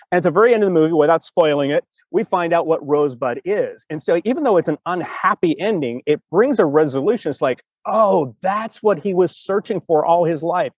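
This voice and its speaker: A man hosting a casual discussion over a video call, explaining in an animated, rambling way and acting out reactions. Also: a little high, dry recording. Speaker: podcast host.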